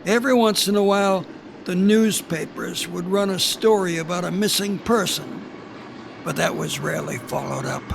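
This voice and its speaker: A man's voice: sits high, moderate pace, gravelly, noisy background. A man reading a dramatized first-person story as a police chief, plainspoken and matter-of-fact.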